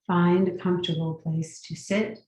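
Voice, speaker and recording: A woman guiding a meditation as a teacher, giving gentle, unhurried instructions with long pauses. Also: on the low side, little pitch movement. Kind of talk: guided meditation. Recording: very echoey room, distant mic.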